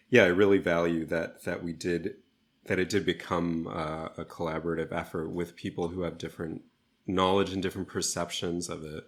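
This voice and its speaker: A man interviewed as a theatre artist, talking reflectively in a measured, slightly hesitant way. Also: low-pitched.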